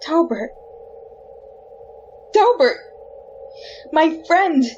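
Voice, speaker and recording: High-voiced anguished condemned woman, played by an actress. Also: very animated, a little mellow, highs cut off, a little hiss or hum, exaggerated voice. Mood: shocked, bitter. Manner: dramatic, halting, pleading delivery. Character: wrongly condemned woman's anguished, desperate wandering soul. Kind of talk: old-time radio horror drama acting.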